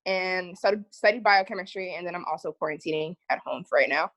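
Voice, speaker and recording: A woman's voice: fairly low pitch, a little fast, fairly bright tone, dry recording. A woman in her twenties with an American accent, introducing herself casually. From Delaware, United States. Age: 20s. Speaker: prospective medical student. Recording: dull dry video call.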